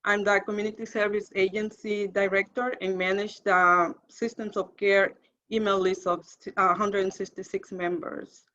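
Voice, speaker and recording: A woman from Honduras introduces herself over a muffled video call. Her voice is bright and crisp, and she speaks steadily and matter-of-factly. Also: mid-range voice, little pitch movement, normal speed. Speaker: program director. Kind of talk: panel self-introduction.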